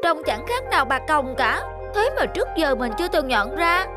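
A very high, plaintive cartoon shrimp voiced by a woman, timid and sure she is ugly. She speaks in a tearful, self-pitying way. Music plays clearly behind her. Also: very animated, normal speed. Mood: dismayed. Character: timid, self-conscious female river shrimp. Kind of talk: cartoon voice acting.

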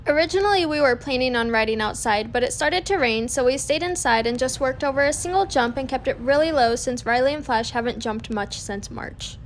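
A woman's voice: sits high, brisk, few pauses, slight background noise. A woman, chatting casually to her vlog camera. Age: 20s. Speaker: vlogger. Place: outdoors and in barns at a horse farm. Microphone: handheld camera at arm's length.

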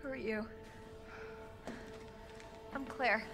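Casting: determined young female game survivor, high voice, urgent, insistent, over loud music. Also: steady tone, very slow, creaky, exaggerated voice. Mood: worried, insistent. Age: young adult. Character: determined young woman survivor searching for a girl. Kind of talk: dramatic video game acting.

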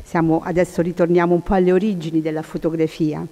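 Woman, soft mellow tone, informal lecture, halting delivery, long hall reverb. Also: fairly low pitch, flat delivery. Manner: halting, searching for words. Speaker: conference speaker. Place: large hall, seated at panel table. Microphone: table microphone.

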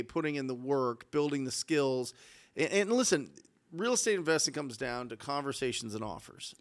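A man in his forties hosting a podcast interview, talking energetically and emphatically on a studio mic. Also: mid-range voice. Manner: energetic, emphatic, hypes the guest. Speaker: podcast host, real estate coach. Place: studio set with mountain mural. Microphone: studio mic on boom arm.

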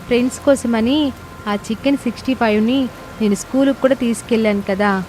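A woman's voice: a little high, a little fast, darkish tone, close to an everyday voice, rough recording. A chatty young schoolchild played by a woman in a cartoon family tale. The child speaks candidly and eagerly to a parent, with faint rainy noise behind. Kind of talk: Telugu moral-story cartoon voice acting.

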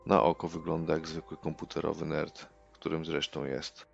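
A man reading a scripted character introduction in a hushed delivery. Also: very deep voice, level tone, normal speed, vocal fry, muffled recording, faint music behind.

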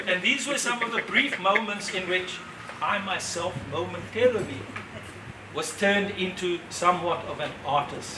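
Older man speaking slowly at a gallery. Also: high voice. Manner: reflective, measured, with pauses. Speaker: speaker at an exhibition opening. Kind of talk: unscripted public speech. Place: gallery entrance, indoors facing an audience. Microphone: far camera, no mic seen. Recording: roomy, distant, noisy ambience.

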